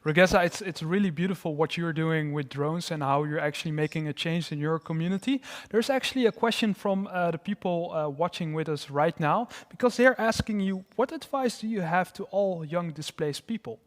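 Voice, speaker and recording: A man's voice: fairly high pitch, a little fast, hardly any pauses, big-room sound. A man hosting a live panel, affirming the guests and reading out audience questions. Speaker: host, moderator. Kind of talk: live studio moderation.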